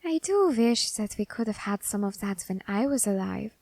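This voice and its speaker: A woman playing a scholarly, quietly menacing Grim Reaper in an ASMR roleplay, speaking in formal, deliberate phrases with pauses. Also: medium pitch, animated, vocal fry, no echo. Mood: intrigued, musing. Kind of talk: ASMR character roleplay audio drama.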